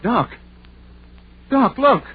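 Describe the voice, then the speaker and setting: A man's voice: very high-pitched, animated, extremely slow, full character voice. A man playing a fearful, superstitious rural townsman with a folksy, nervous way of talking, in 1930s radio drama style. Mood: frightened. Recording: muffled, noisy 1930s radio recording.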